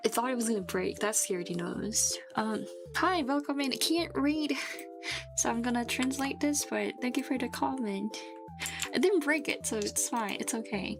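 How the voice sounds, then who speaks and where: A woman's voice: a little high, animated, moderate pace, creaky. Sick woman rambling on a stream. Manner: rambling, many restarts, frequent laughs. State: sick with a fever, dry throat. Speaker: vtuber streamer. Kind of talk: casual live stream chat. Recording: background music under the voice.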